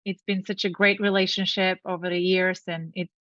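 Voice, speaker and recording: A woman's voice: lowish voice, level tone, moderate pace, fairly bright tone, dry recording. Earnest Bulgarian-born woman on a dull, dry line. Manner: earnest, reflective, speaks in long lists. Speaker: guest, company founder. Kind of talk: interview conversation.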